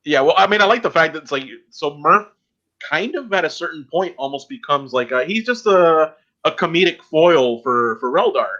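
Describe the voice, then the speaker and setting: A man hosting a casual podcast chat, halting and repeating words as he reasons aloud, on slightly dull audio. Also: on the high side, lively intonation, bright, forceful tone. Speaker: podcast host.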